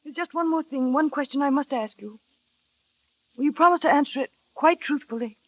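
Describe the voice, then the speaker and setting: An actress playing a shy, insecure young wife who narrates wistfully and asks hesitant, earnest questions, on an old radio drama. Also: female voice, high-pitched, a little fast, no echo, natural voice. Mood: anxious. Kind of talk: 1940s radio acting. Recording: dry, dull vintage radio recording.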